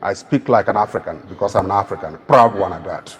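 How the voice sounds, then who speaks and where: A man's voice: deep voice, fast, background noise. A Nigerian man with an Igbo accent giving an emphatic, rousing public speech as a keynote speaker. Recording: long reverb of a large hall.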